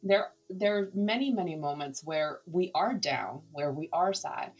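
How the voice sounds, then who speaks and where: A woman's voice: fairly low pitch, lively intonation, unhurried, breathy edge, faint music behind. A middle-aged woman from Canada whose first language is Cantonese, speaking earnestly and reflectively as a podcast guest, with hesitations and restarts. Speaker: podcast guest, nonprofit founder. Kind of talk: candid conversational interview.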